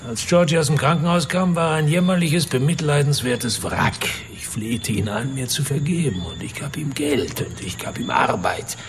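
A man's voice: medium pitch, normal speed, hardly any pauses, a little hiss or hum, natural voice. An actor playing a crude, boastful, middle-aged wealthy father in a radio drama, with a mocking, theatrical manner. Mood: confessing.

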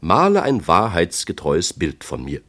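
A man voicing an imperious, vain and quick-tempered tsar in a radio-play tale, with commanding, declamatory delivery. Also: low voice, huge pitch swings, a bit bright, heavily acted.

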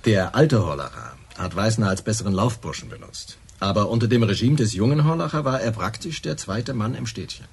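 A man playing a wry, knowing small-town police officer in a radio crime drama, talking in a measured, insinuating way. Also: on the low side, expressive, gravelly, a little hiss or hum.

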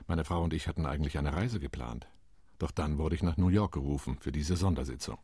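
A man's voice: very deep voice, brisk, mellow, husky, no echo, studio quality. An actor playing a weary, wry male Latin American diplomat in a radio play monologue, recalling his posting. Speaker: UN committee delegate.

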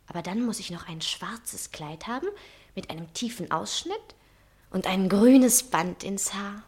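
A woman's voice: mid-range voice, lightly acted. An actress playing a vain, dreamy and callous young woman in a 1960s German radio play, with theatrical, musing phrasing. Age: young adult. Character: vain, dreamy, callous young innkeeper's daughter longing for luxury.

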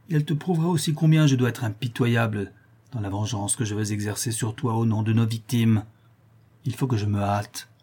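A man reading an audiobook, voicing a blind, brutal old male murderer who is haunted by his crimes and speaks with brooding, hushed menace. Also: mid-range voice, slightly rough, close to an everyday voice. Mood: vengeful. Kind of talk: audiobook reading of a classic novel.

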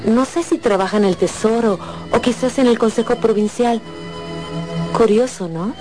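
A woman's voice: fairly high pitch, moderate pace, natural voice. An actress playing a shy, genteel young married Russian lady in a radio drama, speaking in a polite, hesitant way. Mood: polite, confiding. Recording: loud music under the voice.

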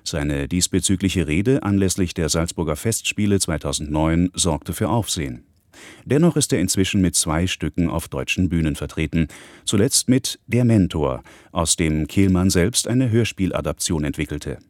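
A male radio presenter reading a scripted introduction in a measured, factual way. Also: deep voice, lively intonation, darkish tone, no room sound, clean studio sound.

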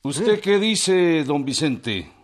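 Lively, authoritative governor. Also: male voice, a little high, drawn-out words, heavily acted. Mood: official, brisk. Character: state governor, authoritative and businesslike. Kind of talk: radio drama acting.

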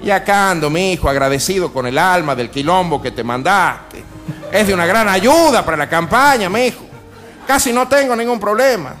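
Actor como jefe político argentino gruñón, de tono cambiante. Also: male voice, high voice, expressive, moderate pace, fairly bright tone, faint music behind. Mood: sarcastic, irritated. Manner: grumbling, colloquial, repeats words and pet address. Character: folksy, foul-mouthed, exasperated provincial political boss. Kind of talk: satirical radio comedy sketch.

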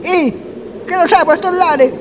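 An actor playing a bossy, gruff village man in a radio drama, speaking colloquially and commandingly with a Chilean accent. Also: extremely high pitch, a little slow, background noise. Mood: wary. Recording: long reverb, music behind the voice.

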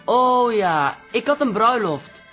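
An actor playing an eager, polite but evasive newspaper deliverer in a Dutch radio comedy drama. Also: male voice, very high-pitched, sing-song, slow pace, pushed and bright, soft background music, exaggerated voice.

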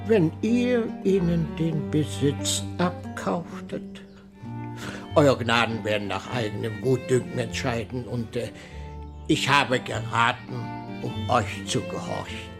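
An actor playing a timid, evasive older village priest in a radio drama, wordy and full of excuses. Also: male voice, mid-range voice, expressive, slow pace, gravelly. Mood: fretful. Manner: fretful, wordy, excuse-making. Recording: radio play with music behind.

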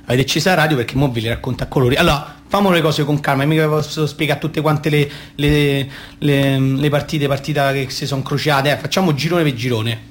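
A man's voice: mid-range voice, slight background noise, natural voice. A man playing a naive, football-mad little boy in a comic radio play, rambling and stumbling in a colloquial Roman accent. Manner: colloquial, stumbling, self-correcting. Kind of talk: comic radio theatre monologue.

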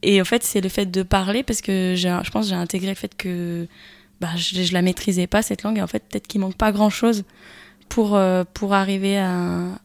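A woman's voice: mid-range voice, flat delivery, normal speed, vocal fry, no echo. A woman in a casual radio discussion, talking in a thoughtful, rambling way and often correcting herself. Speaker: radio show panelist.

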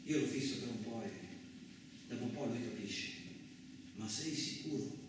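An actor playing a frightened, injured farm labourer, telling his story in the first person as a halting, repetitive theatrical radio monologue. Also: mid-range voice, noisy background, close to an everyday voice. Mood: hushed, fearful. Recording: very echoey, distant, loud music behind. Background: music.

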